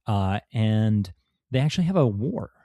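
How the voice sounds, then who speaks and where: Low, mellow man telling history. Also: sing-song, a little slow, no echo, clean studio sound. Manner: rambling, many fillers and restarts, laughs now and then. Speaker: podcast guest, author. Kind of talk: casual storytelling history talk.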